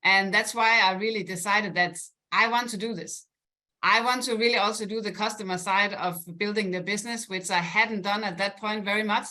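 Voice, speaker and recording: A woman from Denmark speaks in a bright, lively tone as she presents on a business call. She talks at an ordinary pitch, filling pauses with "uh" and "you know" and laughing lightly along the way. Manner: upbeat, laughs often, many fillers. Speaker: guest presenter.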